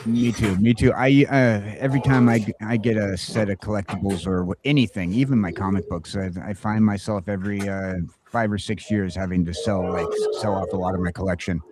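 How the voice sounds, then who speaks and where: A man hosting a casual talk show, joking along in a halting way and often repeating his phrases. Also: on the low side, fairly even intonation, background noise. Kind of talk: casual chat.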